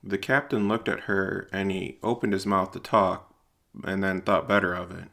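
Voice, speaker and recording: A man narrating a scripted audio drama in a measured, deliberate, literary way. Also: low-pitched, flat delivery, vocal fry, a bit bright. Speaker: narrator and writer-performer.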